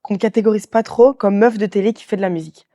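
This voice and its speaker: Woman, 20s. Talkative interview guest, rapid casual delivery, relaxed warm timbre. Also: medium pitch. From Belgium. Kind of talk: casual chat, anecdotal storytelling.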